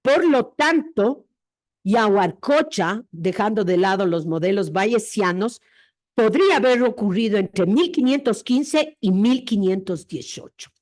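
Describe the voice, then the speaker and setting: A woman's voice: medium pitch, lively intonation, unhurried, darkish tone. A woman presenting an academic history lecture, explaining her reasoning step by step in a didactic way.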